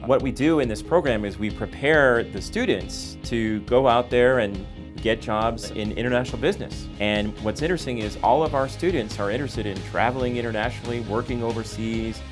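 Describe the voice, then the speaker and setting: A male faculty member explains the program in a steady, assured voice of middle pitch. Guitar music plays clearly behind. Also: fairly quick, hardly any pauses. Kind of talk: interview.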